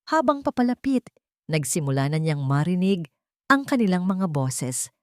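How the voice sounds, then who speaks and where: A woman reading a scripted story in a dramatic, deliberate manner, phrase by phrase. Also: lowish voice, no echo, clean studio sound. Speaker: storyteller, narrator.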